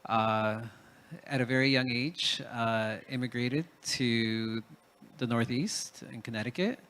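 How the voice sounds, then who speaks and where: Man, panelist, reflective talk, hesitant, many ums and restarts, mid pitch. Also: slow, fairly bright tone. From Peru.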